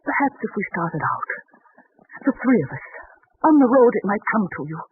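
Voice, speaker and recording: A woman's voice: medium pitch, expressive, normal speed, lightly acted. An actress playing a pleading, devoted mother in biblical times, earnest and emotional, in 1940s radio drama style. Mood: desperate pleading. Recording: muffled, noisy old 1940s radio broadcast.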